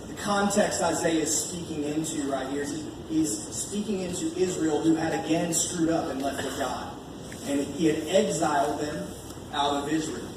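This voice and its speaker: A man's voice: on the high side, moderate pace. An American man preaching an informal, conversational sermon, earnest and repeating key phrases for emphasis as he reads and explains scripture. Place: large echoey indoor church meeting space. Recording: echoey room, distant mic, background noise.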